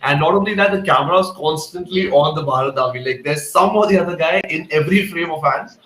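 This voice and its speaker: A man hosting a casual live sports talk, chatty and quick to affirm his guests. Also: on the high side, a bit bright. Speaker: host. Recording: echoey room on internet call.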